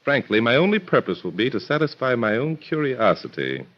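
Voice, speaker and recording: An actor playing a shrewd, self-possessed American private detective in an old radio mystery, probing and wry. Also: male voice, medium pitch, sing-song, a little fast. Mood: assured, curious. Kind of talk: 1940s radio acting. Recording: dull vintage radio recording.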